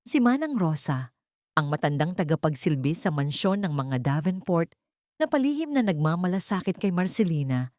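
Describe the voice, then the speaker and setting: A woman's voice: on the low side, sing-song, normal speed, a little mellow, no room sound, studio quality. A woman narrating a scripted audio drama story in a solemn, melodramatic manner.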